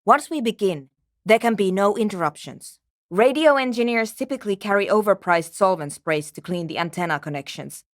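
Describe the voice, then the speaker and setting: A woman playing a brisk, matter-of-fact programmer and radio tinkerer in a video game, speaking in dry, precise, curt technical phrases. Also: mid-range voice, animated, dry recording, natural voice. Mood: businesslike. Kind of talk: video game character dialogue.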